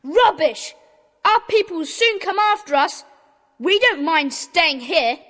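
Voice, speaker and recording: A woman's voice: very high-pitched, lively intonation, a little slow, fairly bright tone, full character voice. A woman playing a plucky, bossy schoolboy in a children's audio drama, speaking in eager exclamations. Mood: defiant. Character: Peter, plucky bossy schoolboy, leader of a child detective club. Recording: faint background noise, cassette dramatization.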